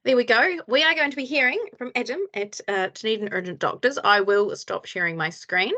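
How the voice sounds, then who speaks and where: A woman hosting an online meeting over a video call, chatty and quick to laugh. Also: a little high, bright, forceful tone, highs cut off. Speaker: meeting host.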